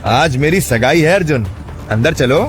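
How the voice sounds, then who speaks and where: A man acting a commanding, arrogant rich man in an audio drama, speaking deliberately. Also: on the high side, animated, soft background music, close to an everyday voice. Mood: haughty. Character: arrogant cruel rich stepbrother; also solemn ancient dragon king.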